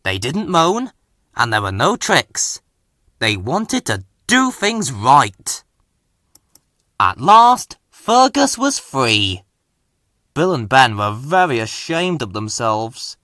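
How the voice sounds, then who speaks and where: A man reading a scripted children's story aloud with expressive, dramatic narration. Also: mid-range voice, takes it slowly, dry recording, clean studio sound. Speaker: storybook reader.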